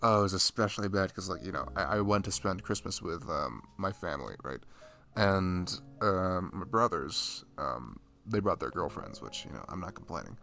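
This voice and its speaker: A dorky, shy young man, played by a male performer talks close to the mic as a lonely best friend in an ASMR roleplay. His gravelly voice sits a bit low but swings up and down animatedly. He rambles haltingly and self-deprecatingly over faint music. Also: expressive, sharp tone, heavily acted. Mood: self-mocking. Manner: hesitant, self-deprecating, halting with fillers and sighs. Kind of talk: intimate ASMR roleplay, casual rambling monologue.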